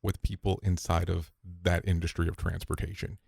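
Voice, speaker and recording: A man being interviewed on a podcast, telling stories in a relaxed way with restarts and quote-unquote asides. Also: low voice, moderate pace, vocal fry, husky, no echo, clean studio sound. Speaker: podcast guest, safety broadcaster. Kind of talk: conversational interview answers.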